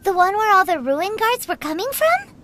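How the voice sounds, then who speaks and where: Tiny sassy fairy sidekick; very high, lively voice with sarcastic asides. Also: female voice, heavily acted. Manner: sarcastic, quick remarks. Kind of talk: video game voice acting.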